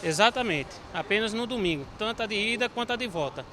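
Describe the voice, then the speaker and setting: A man's voice: high voice, expressive, fast talker, sharp tone, a little hiss or hum. A man interviewed outdoors for the news, explaining procedures and urging the public to act early. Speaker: bus terminal official.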